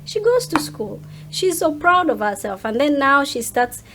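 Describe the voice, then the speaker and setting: A woman's voice: sits high, sing-song, normal speed, a bit bright, a little hiss or hum. A woman in her thirties talking casually as an interview guest, emphatic and repeating phrases to drive them home. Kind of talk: casual chat.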